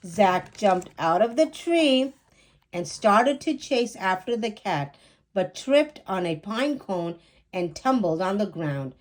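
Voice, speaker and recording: A woman storyteller reading a children's book aloud in a measured, expressive way. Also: medium pitch. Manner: measured, acts out dialogue. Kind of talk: expressive scripted read-aloud narration.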